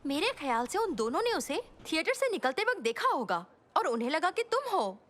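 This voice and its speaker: Very high, lively cartoon girl. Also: brisk, heavily acted. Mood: supportive. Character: cheerful, chatty, supportive young girl. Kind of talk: animated comedy series dub.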